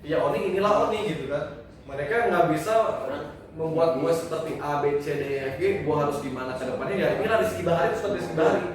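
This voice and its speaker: A man's voice: on the high side, quick pace, a crowd in the background. A man with a Sundanese accent, a guest in casual chat, joking and slangy, often repeating himself. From West Java. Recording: echoey room, distant mic.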